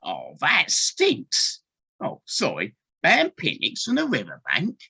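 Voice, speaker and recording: A woman's voice: low-pitched, huge pitch swings, slow, creaky, bright, forceful tone, slightly rough, full character voice. A woman voicing a friendly, apologetic water rat in an audio play. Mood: disgusted. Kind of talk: community audio play acting. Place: living room, recorded over video call.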